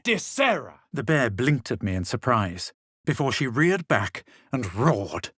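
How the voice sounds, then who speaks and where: An actor playing a haughty, coolly superior fantasy adventurer in a video game, with a husky voice and deliberate delivery. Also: male voice, lowish voice, dry recording, clean studio sound. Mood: forceful spell casting. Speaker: video game player character. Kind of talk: scripted game voice lines, narration and remarks.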